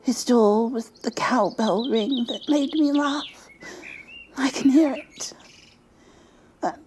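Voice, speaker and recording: A woman voicing a frail, wistful elderly woman who tells wartime memories in a breathy, halting ASMR storytelling whisper. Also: on the high side, darkish tone, a little hiss or hum. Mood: tender nostalgia. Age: old. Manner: halting, repeating words, trailing pauses.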